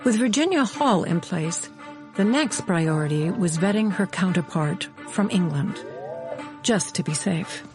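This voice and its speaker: An actress playing a wry intelligence officer, narrating her memories in measured, dryly ironic radio drama style. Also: on the low side, animated. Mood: measured narration. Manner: measured, dry irony. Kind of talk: scripted first-person radio drama narration. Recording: music bed under the voice. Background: music.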